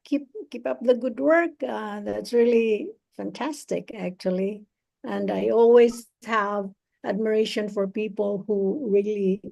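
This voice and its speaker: A woman tutoring English over a video call, talking slowly and encouragingly with many fillers. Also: medium pitch, gravelly, darkish tone. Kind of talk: encouraging online speaking practice.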